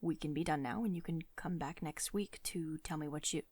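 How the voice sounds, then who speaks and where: A woman's voice: low-pitched, a little fast, darkish tone, no room sound. A woman acting a devoted lady CEO, close and dry. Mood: tender, hesitant. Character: commanding, protective female tech CEO, secretly devoted and tender. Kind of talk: ASMR girlfriend roleplay, intimate acted monologue.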